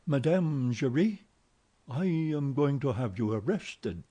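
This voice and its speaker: A man's voice: mid-range voice, expressive, slow, dark tone, voice pushed up. A man voicing a hot-tempered theatre manager who blusters and accuses, in a storybook reading. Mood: stern.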